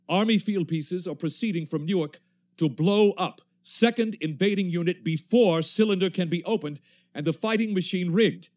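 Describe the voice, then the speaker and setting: An actor playing an urgent radio news announcer, reading war bulletins in clipped phrases in 1930s radio drama style. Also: male voice, a little high, a little mellow, close to an everyday voice.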